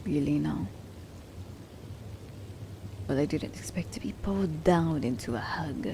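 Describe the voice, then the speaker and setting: A woman playing a reserved assassin who is grudgingly tender, speaking hesitantly and softly in an ASMR romance roleplay. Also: sits low, measured pace, creaky, background noise. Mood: flustered, tender. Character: reserved female assassin, grudgingly tender toward captive werewolf.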